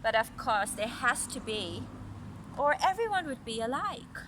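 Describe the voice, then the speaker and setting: Storybook apple branch, read by a woman: haughty, pitying, emphatic, high-pitched, with background noise. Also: sing-song, unhurried. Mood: condescending pity. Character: vain, condescending apple branch in a fairy tale.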